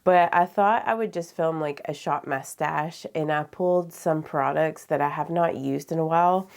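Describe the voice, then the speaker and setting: A woman's voice: deep voice, moderate pace, creaky. A young woman vlogger with a head cold and a nasal, stuffy voice, chatting casually with many fillers while doing her makeup. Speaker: beauty vlogger. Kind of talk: casual get-ready-with-me chat.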